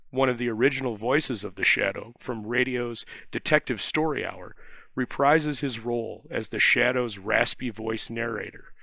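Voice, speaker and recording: A male presenter delivers a scripted introduction to vintage films. His mid-pitched voice has a gravelly creak. It is recorded close, with no room sound. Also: sharp tone, no room sound, low-quality recording.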